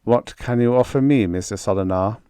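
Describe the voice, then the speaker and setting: A man's voice: on the low side, moderate pace, dark tone, smooth, no room sound, natural voice. A man voicing an incorruptible agent in an audiobook story, with an intense, dramatic delivery. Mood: cool, taunting. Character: cold incorruptible agent man, newly awakened to feeling.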